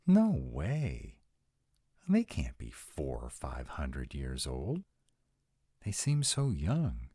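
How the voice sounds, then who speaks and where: A man performs a sarcastic male vampire in an intimate roleplay audio, with an extremely low, husky voice. He talks expressively and conversationally, an anxious immortal who still sounds young at heart, his pitch swinging widely. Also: huge pitch swings, very slow, dark tone, dry recording, studio quality, exaggerated voice. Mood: disbelieving. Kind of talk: intimate one-sided roleplay audio acting.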